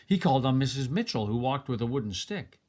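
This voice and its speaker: A man reading a children's story aloud in an expressive narrating style. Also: mid-range voice, fast. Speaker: storybook reader.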